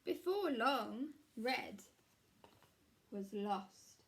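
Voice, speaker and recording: Woman reading to children, higher-pitched voice. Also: lively intonation. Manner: measured, explanatory, child-directed. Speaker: primary school teacher. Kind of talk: read-aloud storytime with questions to children. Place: small room at home, indoors.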